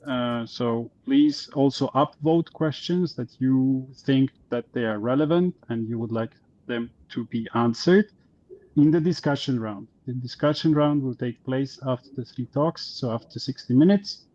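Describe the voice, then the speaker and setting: A man moderating an online meetup, introducing speakers in a halting way with many fillers. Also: medium pitch, darkish tone, lo-fi sound.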